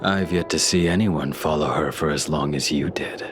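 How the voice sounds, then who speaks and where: An actor playing a grave, weary swordsman in a video game, speaking in a solemn, halting, hushed way. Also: rumbling low. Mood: grave, reflective. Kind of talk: dramatic video game voice acting. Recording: music under the voice.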